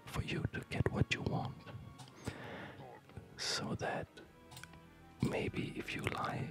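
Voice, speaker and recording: Whispering man, slow ASMR ramble. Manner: hesitant, halting, reflective. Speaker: ASMR video host. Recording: music behind the whisper. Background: music.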